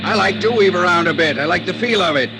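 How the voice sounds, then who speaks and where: A breezy retired private eye at the controls. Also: male voice, high voice, fast. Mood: jaunty. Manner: jaunty, teasing. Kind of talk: 1950s radio drama acting. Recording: hissy old radio transcription.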